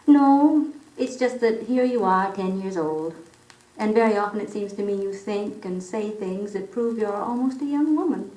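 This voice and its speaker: Woman acting a mellow, tender radio-soap mother. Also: medium pitch, expressive, normal speed, close to an everyday voice. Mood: gentle, explaining. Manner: tender, musing, coaxing. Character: gentle, reflective, affectionate mother of a young girl. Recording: roomy vintage 78rpm radio recording.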